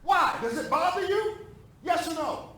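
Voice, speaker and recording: A man with a high-pitched voice delivers an impassioned public address. He is emphatic and deliberate, pressing his points like a confrontational pastor addressing officials at a meeting. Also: expressive, sharp tone. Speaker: pastor speaking at school board meeting.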